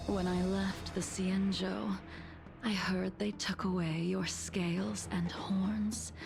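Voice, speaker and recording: Game role: serene fallen sword legend, measured and breathy, with a creaky edge, over music. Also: female voice, medium pitch. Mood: coolly inviting. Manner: slow, calm, breathy, deliberate. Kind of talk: English video game voice acting. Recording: game audio with music behind.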